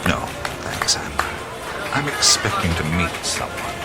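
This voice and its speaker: An actor playing a laid-back American adventurer in an audio drama, hesitant and understated with a wry manner. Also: male voice, low voice, sing-song, unhurried, over a noisy crowd, very noisy, heavily acted. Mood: calm, reflective. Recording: music under the voice.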